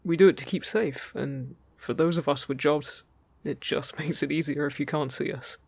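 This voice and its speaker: A man acts out a playful male fairy in a fantasy audio roleplay, speaking close and dry. His voice is lightly husky with some creak, and he talks in a casual, teasing, reassuring way. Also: fairly high pitch, steady tone, moderate pace, darkish tone, no room sound. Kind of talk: scripted audio roleplay addressed to the listener.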